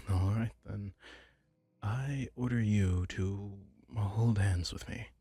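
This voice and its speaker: A man acts out a young mafia heir in an ASMR audio drama, hesitant and gently possessive. His voice is low and very husky, with a gravelly creak. He speaks haltingly, close to the mic, sometimes slipping toward a whisper. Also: fairly even intonation, dry recording, heavily acted. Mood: earnest, gently commanding. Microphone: close, dry microphone.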